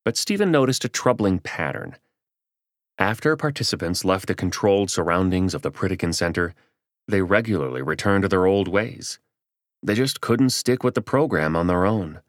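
Deep-voiced man narrating a nonfiction audiobook. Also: animated, normal speed, no echo, studio quality.

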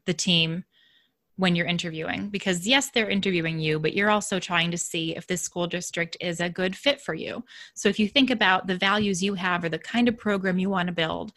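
A woman who teaches elementary music, talking reflectively in a casual interview, soft-spoken and thinking aloud. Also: fairly low pitch. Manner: reflective, thinks aloud with restarts and light laughs.

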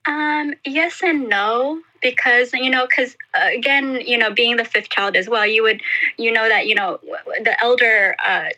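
A woman, a native Indonesian speaker, answering interview questions about growing up abroad in a hesitant, halting way with many fillers and restarts. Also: fairly high pitch, sharp tone, rough recording. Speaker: interview guest. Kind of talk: casual interview.

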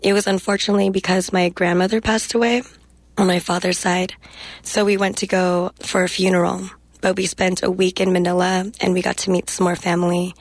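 A young woman guest speaking reflectively in a radio interview and reading her poetry in a measured way. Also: on the low side, little pitch movement, no room sound. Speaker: guest poet.

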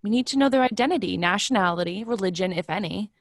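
A fatalistic office woman played by a woman in a play reading, medium in pitch and closely, dryly recorded. She is unsentimental and matter-of-fact. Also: expressive, fast, dry recording, lightly acted. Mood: objecting.

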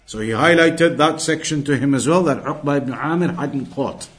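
A man's voice: medium pitch, moderate pace. A man giving an Islamic lesson as a religious teacher, reciting and explaining narrations emphatically, often repeating phrases for clarity.

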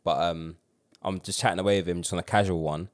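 A man with a London accent tells stories fast and with energy. His voice sits on the low side. He talks in a loose, slangy way and restarts his sentences as he goes. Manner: animated, rapid storytelling with slang and restarts. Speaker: podcast co-host. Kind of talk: casual storytelling chat.

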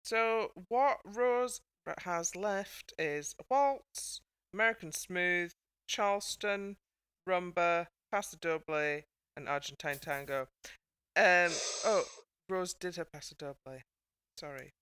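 A woman's voice: fairly low pitch, takes it slowly, fairly bright tone, smooth, no room sound. A woman hosting a casual panel chat in a chatty, wry manner. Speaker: podcast host.

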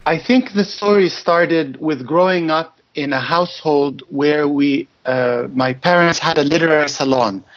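A man, a native Arabic speaker, reading his poetry slowly and then answering interview questions in a measured, reflective way with some hesitant restarts. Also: on the high side, fairly bright tone. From Egyptian-Lebanese family. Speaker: poet, interview guest. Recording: dull lo-fi audio.

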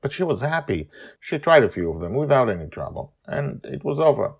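A man voicing a brisk, kindly grandmother in a storybook reading, upbeat and soothing. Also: medium pitch, very animated, gravelly, slightly rough, voice pushed up, heavily acted. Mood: pleased.

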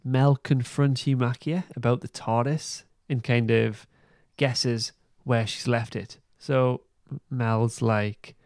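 A man hosting a podcast, giving a casual recap in an informal, halting way. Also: mid-range voice, steady tone, darkish tone, dry recording, studio quality. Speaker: podcast host. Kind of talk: casual plot recap and review.

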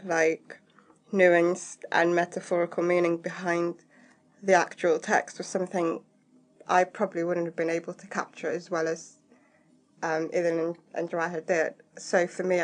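A woman whose first language is Somali speaks on a panel. Her voice is low and gravelly with little melody, and she pauses often with fillers. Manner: hesitant, many fillers. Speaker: panelist, translator.

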